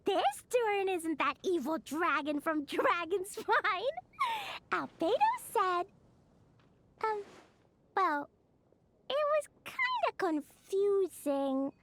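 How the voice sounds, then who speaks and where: Game fairy sidekick: very high childlike pitch, wildly animated, cheerful and bossy. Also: female voice, takes it slowly, full character voice. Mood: chatty, indignant. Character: tiny excitable floating fairy girl, bossy and cheerful. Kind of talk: animated video game voice acting.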